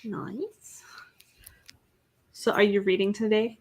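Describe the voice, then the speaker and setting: A woman's voice: medium pitch, steady tone, slow pace, darkish tone, breathy edge. A young woman hosting a casual livestream, reading out viewer chat and greeting people by name. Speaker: livestream host.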